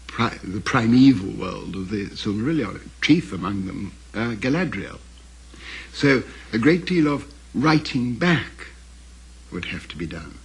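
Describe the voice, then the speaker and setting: An older man, speaking in a halting, deliberate, scholarly way as he reflects in an interview on his father's work. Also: mid-range voice, husky, poor audio. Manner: halting, deliberate, scholarly, with repeated self-corrections. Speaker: interviewee, author's son recalling his father.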